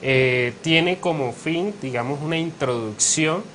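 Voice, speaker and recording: A young man from Colombia's Caribbean coast, with its accent, explaining law as an invited professor in a measured way, pausing often with fillers. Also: fairly high pitch, a bit bright, slight background noise. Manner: measured, with frequent hesitations and fillers. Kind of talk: academic interview explanation.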